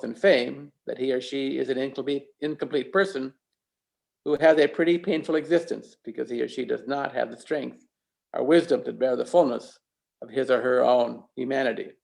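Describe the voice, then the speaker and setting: Creaky-voiced man preaching. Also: mid-range voice, darkish tone, poor audio. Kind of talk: sermon.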